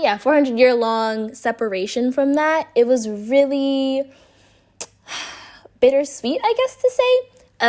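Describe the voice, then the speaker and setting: A woman hosting a travel vlog, talking casually and chattily straight to her viewers. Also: mid-range voice. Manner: chatty, informal, addressing viewers directly. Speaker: travel vlogger and host.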